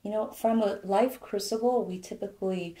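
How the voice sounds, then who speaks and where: A woman in her thirties co-hosting a podcast, pregnant, talking in a thoughtful, measured way. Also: medium pitch, fairly even intonation, dark tone. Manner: thoughtful, measured, switches to Mandarin. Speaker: podcast co-host. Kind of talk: casual podcast conversation. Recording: echoey room.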